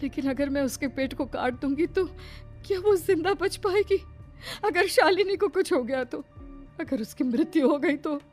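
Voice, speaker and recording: A woman playing an anxious but brave heroine in an animated horror story, earnest and dramatic. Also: sits high, normal speed, faint music behind. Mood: distressed.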